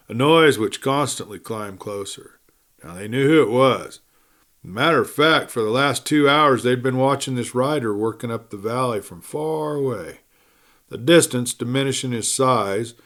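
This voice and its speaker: A man's voice: mid-range voice, fairly bright tone. A man reading a western story aloud in a folksy storytelling manner, with rustic wording. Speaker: audiobook reader.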